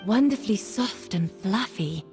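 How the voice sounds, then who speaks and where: A woman's voice: mid-range voice, animated, measured pace, darkish tone, long reverb tail, faint music behind. A woman playing a refined food judge in a video game, giving emphatic verdicts. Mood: appreciative.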